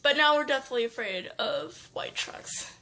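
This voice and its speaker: A woman telling a story in casual chat, rambling with fillers and restarts and getting animated. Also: sits high, moderate pace. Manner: animated, breaks into sound effects.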